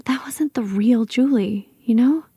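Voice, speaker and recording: A bitter, emphatic best friend. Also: female voice, a little high, mellow, breathy edge, dry recording, studio quality, exaggerated voice. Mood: defensive, insistent. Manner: casual, emphatic, halting. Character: bitter, protective best friend of a missing woman. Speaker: interviewee. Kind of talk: acted documentary interview, conversational.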